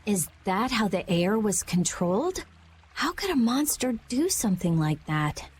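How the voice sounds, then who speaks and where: A woman's voice: medium pitch, very animated, measured pace, a little hiss or hum. An actress playing a brainy, brusque teenage girl researcher in a video game. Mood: pondering. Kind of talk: animated video game acting.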